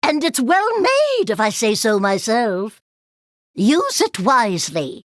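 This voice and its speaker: A woman's voice: fairly high pitch, sing-song, slow pace, no echo, clean studio sound. A woman voicing a fussy, curious elderly scientist in a video game, prim, precise and motherly in her way of talking. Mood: approving. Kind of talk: animated video game character voice lines.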